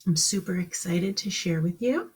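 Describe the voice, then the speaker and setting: A woman's voice: on the low side, animated, normal speed, husky. A woman teaching kindergarten on a live stream, speaking encouragingly to children in simple questions and instructions.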